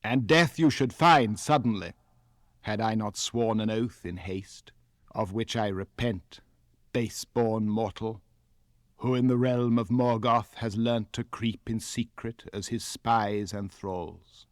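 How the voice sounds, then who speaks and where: An English man reads as a proud elven king of legend, with an English accent. He is scornful and imperious, weighing each word slowly and with cold formality, as in a storybook epic. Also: fairly low pitch, dry recording, studio quality. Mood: cold wrath. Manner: deliberate, imperious, coldly formal.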